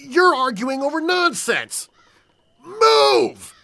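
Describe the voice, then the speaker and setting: An actor playing an exasperated villainous professor in an audio play, condescending and menacing. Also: male voice, very high-pitched, very animated, vocal fry, bright, forceful tone, heavily acted. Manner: condescending, menacing, drawn-out questioning.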